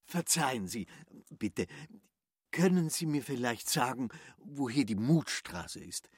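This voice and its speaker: A man's voice: mid-range voice, lively intonation, slow, husky, no room sound, clean studio sound. An actor playing a courteous man who asks a stranger for directions, growing more insistent. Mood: polite. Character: polite man asking directions. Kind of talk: radio play acting.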